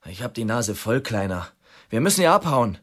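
A mocking brash crook. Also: male voice, medium pitch, big pitch swings, normal speed, a bit bright. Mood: scornful. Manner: mocking, swaggering. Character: brash restless male criminal Dick, mocking. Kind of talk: radio drama acting.

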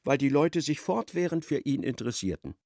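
A man narrating a radio play in an expressive, literary storytelling style. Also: a little high, a little fast, vocal fry, no echo, studio quality.

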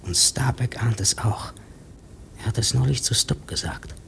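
Uneasy whispering sailor. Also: male voice, mid-range voice, expressive, normal speed, gravelly. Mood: secretive, insistent. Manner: hushed, insistent, secretive. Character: uneasy whispering sailor, sure he hears something hidden. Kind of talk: German radio play acting.

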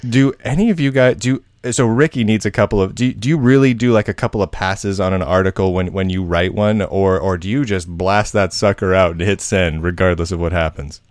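A man's voice: low voice, a little fast, hardly any pauses, dry recording, clean studio sound. A man hosting a casual roundtable, asking questions animatedly and repeating words as he goes. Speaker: podcast host.

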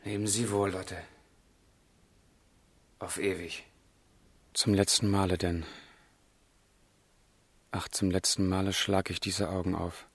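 A low, very husky male voice with creak in it. a tormented young lover in a literary radio play, declaiming slowly and fervently, as one resolved to die. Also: flat delivery, no room sound, full character voice. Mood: solemn farewell. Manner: slow, fervent, brooding declamation. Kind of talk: literary radio drama acting, declaimed monologue.

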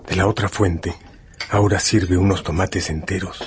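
A male narrator tells a scripted radio drama in a very low voice. His delivery is measured and solemn, set over faint music. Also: fairly even intonation.

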